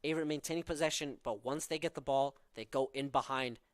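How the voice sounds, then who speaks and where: A man's voice: medium pitch, fairly quick, clear, resonant tone, no echo. A young man from southern Norway with a Norwegian accent, calling live college soccer with tactical analysis and frequent fillers. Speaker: play-by-play commentator.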